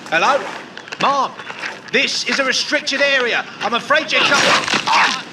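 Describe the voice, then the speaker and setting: A man's voice: very high voice, lively intonation, takes it slowly, very noisy, full character voice. A man playing a firm security guard. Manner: firm orders.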